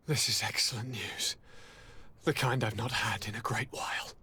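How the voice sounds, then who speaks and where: A man acting a sickly young prince in a video game cutscene, speaking formally and theatrically with weary sighs and a husky voice. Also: fairly low pitch, bright, forceful tone, heavily acted. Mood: weary gratitude.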